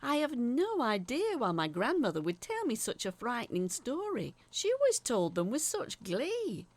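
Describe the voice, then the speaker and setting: A woman's voice: a little high, huge pitch swings, moderate pace, no room sound. A woman playing an earnest, haunted letter writer, confiding eerie childhood tales in a dramatic scripted narration. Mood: unsettled, confiding.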